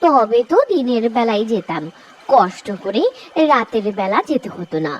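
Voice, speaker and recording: A woman's voice: fairly high pitch, lively intonation, moderate pace, lo-fi sound, a little hiss or hum, lightly acted. An actress voicing a bossy middle-aged mother in a cartoon drama, with a reproachful manner. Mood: nagging, impatient.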